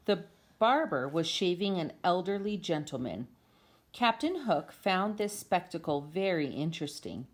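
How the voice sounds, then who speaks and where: A woman reading a children's storybook aloud as narrator, in an amateur home recording. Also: fairly low pitch. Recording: clear background noise, amateur home video.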